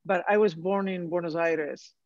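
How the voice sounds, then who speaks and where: A woman's voice: fairly low pitch, steady tone, normal speed, a little mellow, no echo. A woman from Argentina with an Argentine Spanish accent, a participant on a video call, speaking hesitantly with many fillers. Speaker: course participant. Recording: dull, dry video call audio.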